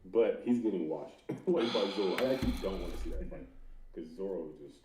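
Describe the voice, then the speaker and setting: A man's voice: deep voice, lively intonation, brisk. A man hosting a podcast in casual, slangy chat, often saying bro. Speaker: podcast host. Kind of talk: casual chat about anime. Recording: roomy echo with background noise.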